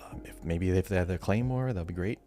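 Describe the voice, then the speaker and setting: A man with a deep voice talks casually as a podcast co-host. His speech is informal and conversational, and soft music plays behind him. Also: fairly quick. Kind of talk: casual chat about video games.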